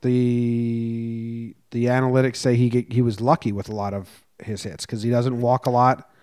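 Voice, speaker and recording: A man's voice: mid-range voice, little pitch movement, moderate pace, darkish tone, smooth. A young man co-hosting a podcast, giving short, blunt, skeptical replies in casual sports chat, speaking into a large mic at home. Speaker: podcast co-host. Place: home room, indoors. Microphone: large studio mic close up.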